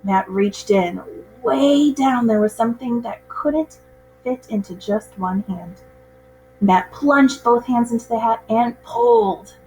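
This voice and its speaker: A woman reading a picture book aloud to children in an expressive, measured storytelling style. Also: a little high, soft background music, poor audio.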